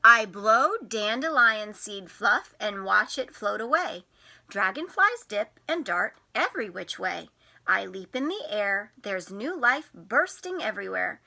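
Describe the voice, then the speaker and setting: A woman's voice: mid-range voice, expressive, measured pace, vocal fry, pushed and bright. A woman teacher reading aloud to young children, explaining things simply and stressing key words expressively. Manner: explains simply to children, asking them questions. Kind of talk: picture-book read-aloud for young children.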